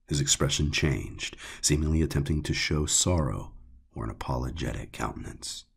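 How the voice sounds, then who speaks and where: Very deep, gravelly male story narrator. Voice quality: gravelly, raspy. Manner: brooding, measured, with deliberate pauses. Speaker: audiobook narrator. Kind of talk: dramatic scripted horror narration.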